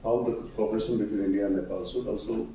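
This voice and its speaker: A man's voice: lowish voice, steady tone, rapid-fire, dark tone, dull audio. A man moderating a panel discussion, speaking formally with some hesitation. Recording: echoey hall from far off, faint noise.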